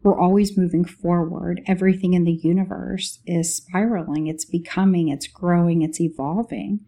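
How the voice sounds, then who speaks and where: A woman leading a guided spiritual meditation as host, speaking in short, unhurried phrases with long pauses. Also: fairly low pitch, steady tone, warm tone.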